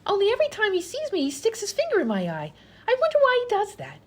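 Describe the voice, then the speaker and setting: Very high voice, swooping delivery, scatterbrained folksy chatterbox, radio comedy patient, rambling. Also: female voice, huge pitch swings, fast talker, exaggerated voice. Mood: chatty, oblivious. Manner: rambling, folksy ungrammatical speech. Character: chattering dim-witted vaudeville patient Ruby, nonsensically rambling. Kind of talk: old-time radio comedy acting.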